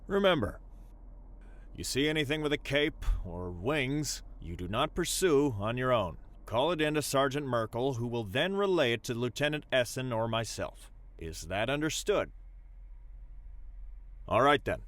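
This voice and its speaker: A man playing a stern, dryly sarcastic police lieutenant in an audio drama, speaking with measured authority. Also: mid-range voice, big pitch swings, a bit bright.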